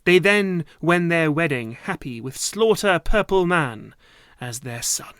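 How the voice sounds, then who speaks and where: Slow, theatrical male storyteller, dry close sound. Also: a little high, lively intonation, fairly bright tone, dry recording. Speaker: voice actor reading a story. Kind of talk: dramatic narrated story reading.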